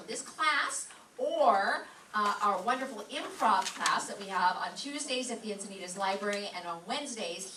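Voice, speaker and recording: A woman's voice: fairly high pitch, bright, forceful tone. A woman giving a chatty, joking, informal welcome speech to an audience as the director of a class show. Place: live show room with small audience. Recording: roomy, distant mic, faint noise.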